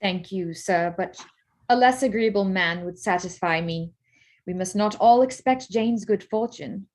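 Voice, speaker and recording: A woman playing a wry, frank young gentlewoman in a staged reading of a period drama, speaking articulately at a medium pitch. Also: a little slow, natural voice.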